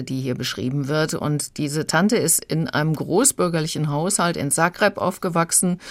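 A woman with a low voice speaks as a reviewer in a radio conversation. She explains in a conversational way, in a very dry acoustic. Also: no room sound. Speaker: audiobook reviewer.

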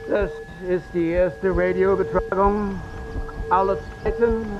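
An actor playing an early radio pioneer, declaiming solemnly in a radio drama. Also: male voice, sits high, steady tone, slow, background noise. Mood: announcing. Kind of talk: old radio announcement. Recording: dull old-radio sound over music.

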